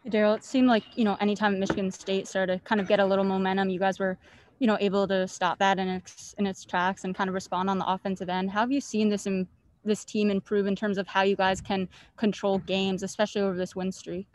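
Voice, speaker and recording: A woman's voice: mid-range voice, flat delivery, quick pace, darkish tone, no echo. A woman reporter putting rambling questions to a player over a remote call, with many fillers. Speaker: student reporter. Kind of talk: press conference questions.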